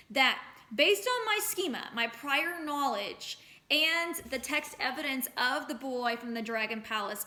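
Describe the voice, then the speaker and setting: A woman teacher reads and explains a story for children. Her voice is bright and a little high, and she phrases things expressively, with deliberate emphasis. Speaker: teacher reading aloud to children. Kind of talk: expressive storybook read-aloud with lesson questions.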